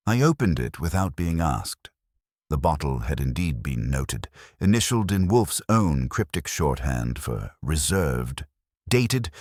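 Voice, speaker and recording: A man with an extremely low voice narrates a detective story. His delivery is wry and dramatic, swinging widely in pitch. The voice has a husky grain. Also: no echo, studio quality. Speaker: audiobook narrator. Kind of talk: scripted first-person detective story narration.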